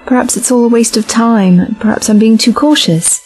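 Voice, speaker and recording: A young companion, played by a woman in a science-fiction audio drama. She sounds uncertain and defensive, stumbling slightly over words. Her fairly high voice sits over faint music. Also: normal speed, a little mellow, natural voice. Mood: pensive. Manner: hesitant, slightly stammering.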